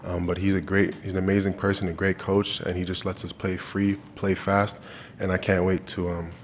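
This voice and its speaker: A man's voice: deep voice, level tone, normal speed, a little hiss or hum. A young man from Canada answering press questions earnestly, often restarting his phrases. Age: 20s. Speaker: college football player. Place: media day press room.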